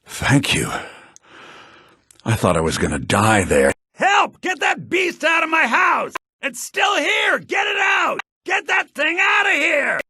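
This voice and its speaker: An actor playing an excitable, fussy male townsman and schemer in a fantasy video game, with a theatrical manner. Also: slow pace, no echo, shouting, clean studio sound, heavily acted. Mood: sheepish, then panicked. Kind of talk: video game character dialogue. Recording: low-bitrate game audio.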